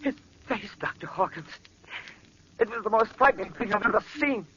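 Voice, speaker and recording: A man playing a terrified hospital morgue worker in 1940s radio drama, frantic and halting. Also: animated, pushed and bright. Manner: frantic, high-strung, halting. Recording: muffled, faintly noisy old radio recording.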